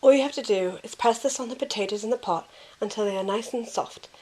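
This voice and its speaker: A woman playing a caring, reserved young woman in an amateur audio drama, soft-spoken and reassuring. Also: fairly high pitch, fast talker, natural voice. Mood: warm, casual.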